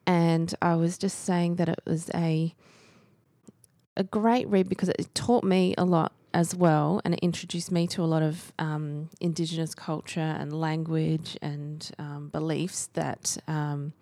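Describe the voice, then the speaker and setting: A woman with an Australian accent co-hosting a book podcast, giving steady, informative background with frequent "um"s. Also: low voice, a little slow, dry recording, studio quality. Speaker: podcast co-host. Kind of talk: casual book chat.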